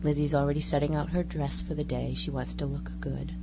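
A woman with a low voice speaks as a radio interview guest. Her talk is casual and playful, over clearly audible hum and noise. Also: flat delivery, a little fast. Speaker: guest, historic house tour operator. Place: radio studio. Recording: noisy studio audio with hum.

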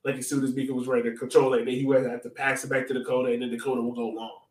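A man's voice: medium pitch, flat delivery, racing. Man chatting casually in a roomy echo. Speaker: sports podcast co-host. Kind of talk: casual soccer chat. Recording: roomy echo, distant from mic.

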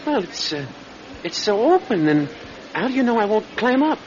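An excitable, fussy man with a high voice that swings widely. He is self-important and insistent, stumbling as he pleads, in an old radio drama. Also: normal speed. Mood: pleading, agitated. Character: agitated, self-important man eagerly confessing to murders. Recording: dull lo-fi radio recording, heavy noise.

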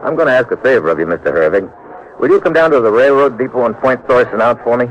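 A man's voice: mid-range voice, animated, fast, natural voice. A man playing a tough, wry American government agent in an old-time radio drama, talking in a clipped, hard-boiled way. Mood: terse, businesslike. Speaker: lead actor and narrator. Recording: dull vintage radio recording.

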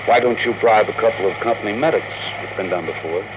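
An actor playing a gruff, down-on-his-luck rocket captain in an old-time radio drama. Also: on the low side, fast talker, dull audio, natural voice. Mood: wary. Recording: noisy old radio recording.

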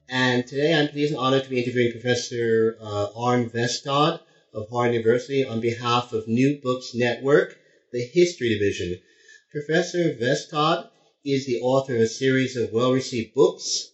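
A male interviewer giving a formal, halting introduction of his guest, with frequent pauses and fillers. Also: mid-range voice. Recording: roomy, dull lo-fi sound.

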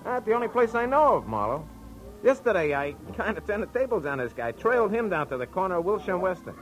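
A man's voice: high voice, sing-song, quick pace, poor audio. An actor playing a shady, dapper ex-con businessman with slangy, insistent tough-guy talk in 1940s radio style. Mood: businesslike. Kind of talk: 1940s radio crime drama acting. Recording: old radio recording with music.

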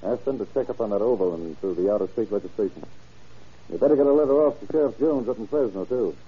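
A husky, fast-talking sheriff. He gives clipped, authoritative lines in vintage radio acting style, on a dull old recording. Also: on the low side. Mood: brisk, commanding. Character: brisk, businesslike male sheriff or official.

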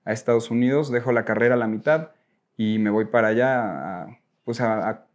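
A man's voice: medium pitch, normal speed. A man from Mexico with a Mexican accent, telling personal stories casually as an interview guest, rambling and correcting himself. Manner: informal, self-correcting, rambling.

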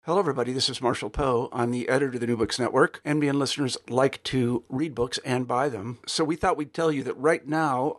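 Man, sponsor read, brisk, dry sound. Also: medium pitch, no room sound. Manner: brisk, plain promotional read. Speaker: network editor. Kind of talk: sponsor announcement.

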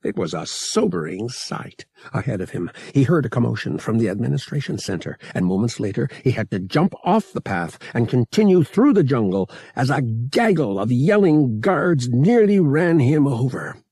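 Gravelly male audiobook narrator, animated. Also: mid-range voice, normal speed, few pauses, dry recording, clean studio sound. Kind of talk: scripted dramatic fiction narration.

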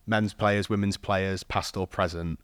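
A man with a low voice hosts a podcast interview, setting up questions in a conversational style. The recording is very dry, with no room sound. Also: steady tone, unhurried, fairly bright tone, smooth, no echo. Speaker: podcast host.

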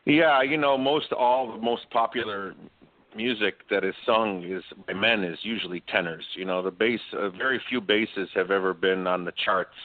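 A man's voice: on the low side, normal speed, dry recording. A man who sings opera, a guest in a remote interview, explaining at length with frequent ums. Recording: dry tinny call line.

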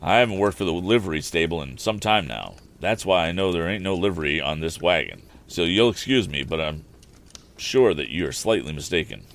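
A man's voice: low voice, lively intonation, fairly quick, gravelly, a bit bright, heavily acted. A man voicing a rustic older handyman who drives a mule, long-winded and deferential. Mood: earnest.

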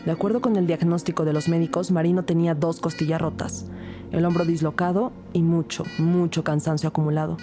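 A woman's voice: deep voice, steady tone, brisk, over music, close to an everyday voice. An actress playing a loyal, resolute female police detective in an audio drama, earnest and protective. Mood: grave, resolute. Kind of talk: audio fiction acting and scripted narration.

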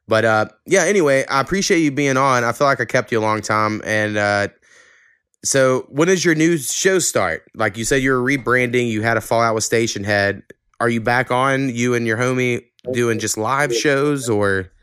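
Male podcast host, clean resonant low voice, blunt and sweary, casual talk in a dry close sound. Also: moderate pace, a bit bright, smooth, dry recording.

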